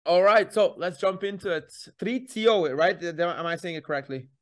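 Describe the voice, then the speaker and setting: A man's voice: high-pitched, fairly quick. A man from Hungary hosting a podcast in a casual, friendly way, rambling a little and restarting his sentences. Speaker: podcast host. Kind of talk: casual interview chat.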